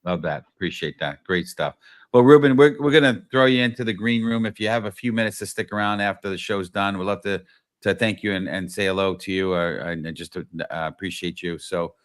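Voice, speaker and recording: A male host wrapping up an interview, thanking the guest with many fillers and trailing off softly. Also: low-pitched, fairly quick, dry recording, studio quality. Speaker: show host. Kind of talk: casual interview hosting.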